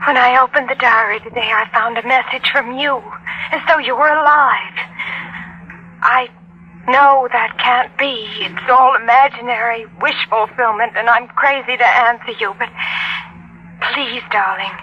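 Actress as anguished sweetheart, highish voice, old radio. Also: female voice, natural voice. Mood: anguished, pleading. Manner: slow, emotional pleading. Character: anguished lost sweetheart, tender and pleading. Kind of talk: 1940s-50s radio drama acting. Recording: dull, noisy old radio recording.

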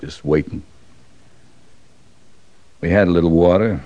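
Muffled vintage radio, low-voiced cavalry officer, middle-aged man, bitter, clipped, grim, Western drama. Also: a little slow, dark tone. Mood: bitter, brooding. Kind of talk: old-time Western radio drama acting. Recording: dull, band-limited old radio recording.

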